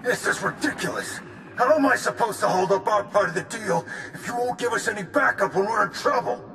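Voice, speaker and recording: High-voiced, brash pirate boss. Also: male voice, expressive, a little slow, noisy background. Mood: indignant, defensive. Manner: indignant, sneering. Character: brash, defensive male pirate gang boss. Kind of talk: video game voice acting.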